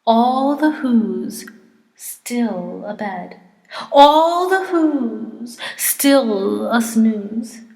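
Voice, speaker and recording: A woman reading a storybook dramatically, with theatrical, expressive and deliberate phrasing, in a reverberant hall. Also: on the high side, gravelly. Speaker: storyteller, teacher. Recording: echoey hall with long reverb.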